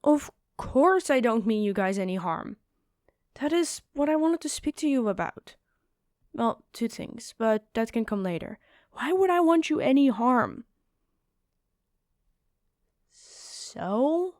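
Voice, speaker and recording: A woman playing a gentle, earnest giant who speaks politely and apologetically in a fantasy audio roleplay. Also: a little high, sing-song, slow, long pauses, no room sound, clean studio sound. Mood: earnest, defensive.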